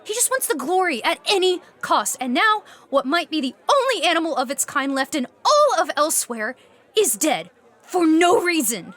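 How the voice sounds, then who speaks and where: A woman's voice: sits high, very animated, moderate pace, a bit bright. An actress playing an indignant, outspoken young woman who aspires to be a beastmaster, in a fantasy comedy audio drama. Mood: outraged. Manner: exasperated, outspoken.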